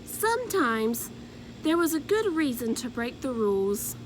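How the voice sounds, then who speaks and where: A woman narrating a children's storybook aloud in an expressive, deliberate way. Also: high voice, a little hiss or hum, rough recording.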